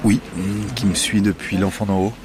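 A man's voice: fairly low pitch, fairly even intonation, normal speed, a crowd in the background. A young man, an actor, answering interview questions hesitantly, often repeating words and laughing. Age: young adult. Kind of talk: casual interview talk.

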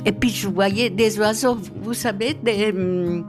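A woman from central Italy, older, reminiscing in an interview in a halting, reflective way that repeats words. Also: medium pitch, music in the background. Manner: reflective, halting, repeats words as she tells her stories. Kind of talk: reminiscing storytelling. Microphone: handheld mic held by the interviewer.

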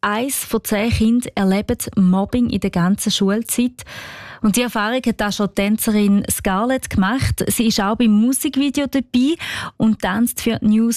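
Enthusiastic Swiss German woman presenter, higher voice. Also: steady tone, moderate pace, darkish tone, no echo. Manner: enthusiastic, addresses young listeners directly. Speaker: children's news presenter. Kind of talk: chatty scripted presenting for kids.

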